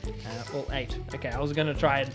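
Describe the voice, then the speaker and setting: A man's voice: medium pitch, steady tone, quick pace, loud music behind the voice. A man chatting casually as a player in a tabletop game, talking through his moves and rolls.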